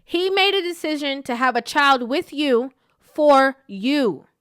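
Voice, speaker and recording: A woman vlogger giving frank, emphatic commentary with a stuffy, sniffly nose, often checking in with a quick "okay?". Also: sits high, lively intonation, fairly bright tone, no room sound, clean studio sound. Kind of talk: opinionated commentary.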